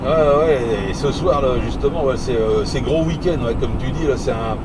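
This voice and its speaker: A man's voice: mid-range voice, normal speed. A man chatting casually on CB radio while driving, hesitant and repeating his phrases. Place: inside a moving vehicle. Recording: loud vehicle and engine noise. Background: road and engine noise.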